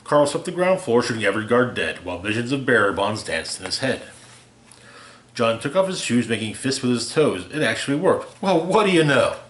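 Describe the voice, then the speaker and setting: A man with a medium-pitched voice reads a rhyming holiday story aloud. His delivery is theatrical and deliberate, shaped around the verse. The recording is roomy and echoey, like a home reading. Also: big pitch swings, a bit bright, a little hiss or hum. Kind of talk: expressive read-aloud of a rhyming holiday parody.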